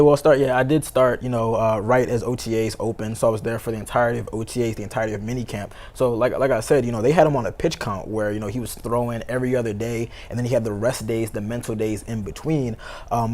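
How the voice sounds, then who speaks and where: A man's voice: mid-range voice, a little mellow. An American man, a sports reporter, giving casual analysis as a talk show guest, peppering his speech with "you know" and "like". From Baltimore, United States. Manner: conversational, heavy use of "you know" and "like".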